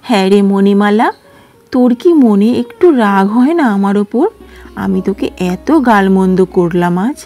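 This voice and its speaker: A woman voicing a sharp-tongued, sulky middle-aged stepmother who nags in a shrill, fast voice, storybook style. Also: close to an everyday voice. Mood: softened. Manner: shrill, nagging, fast scolding. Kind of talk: storybook character voice.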